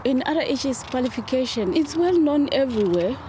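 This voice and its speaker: A woman from Zimbabwe studying horticulture, speaking in a plain, earnest way. Clear outdoor and traffic noise is heard behind her. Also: high voice, expressive, brisk, mellow. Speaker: horticulture student.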